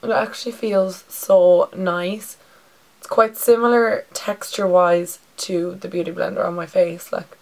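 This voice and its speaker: Hoarse Irish woman chatting to camera. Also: lowish voice, fairly even intonation, a little slow, poor audio. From Ireland. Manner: chatty and rambling, fillers like "like" and "um". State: hoarse with a sore throat. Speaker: beauty vlogger. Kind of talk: casual product review to camera. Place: bedroom indoors.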